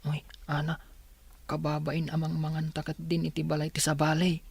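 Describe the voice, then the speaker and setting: A woman's voice: low voice, monotone, moderate pace, creaky, a little mellow, breathy edge, no room sound, heavily acted. A woman playing a timid orphan boy, the protective older brother, with an Ilocano accent in a radio drama. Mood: hesitant.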